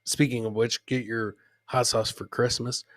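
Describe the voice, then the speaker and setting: A man hosting a casual, boisterous comedy show with loud, joking banter. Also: medium pitch, level tone, moderate pace, no room sound. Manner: profane. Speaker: podcast host.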